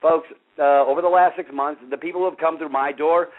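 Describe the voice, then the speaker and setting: Loud, passionate man on a phone line. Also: a little high, steady tone, a little fast. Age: 50s. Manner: loud, passionate, rambling with many fillers. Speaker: radio show host. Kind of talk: casual talk show chat. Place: front porch outdoors.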